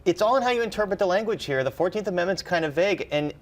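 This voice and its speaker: A man giving conversational political analysis on a news panel, halting at times and stressing his key points. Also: sits high, lively intonation.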